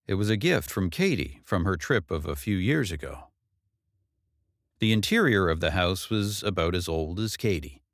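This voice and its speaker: A man narrates a work of fiction in a low-pitched voice. His measured reading is expressive and moves freely up and down in pitch. The sound is dry, with very little room on it. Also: dry recording. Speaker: audiobook narrator. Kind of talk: scripted narration of a novel.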